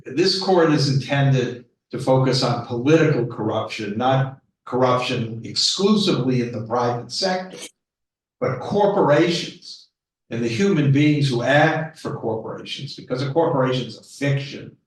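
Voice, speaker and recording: Measured man judge, distant and echoey. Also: mid-range voice. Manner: measured, self-correcting. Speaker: retired judge on the panel. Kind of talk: panel discussion answer. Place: law school lecture room. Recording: echoey room, far from the mic.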